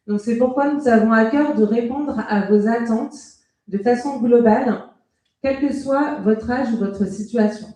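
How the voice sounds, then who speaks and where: A woman speaks as a local councillor at a public meeting. Her voice sits a little high but stays dark and gentle in tone. She delivers prepared remarks in a formal, measured way, and the room around her is strongly echoing. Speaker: local councillor giving a campaign speech. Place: indoor hall at a public meeting.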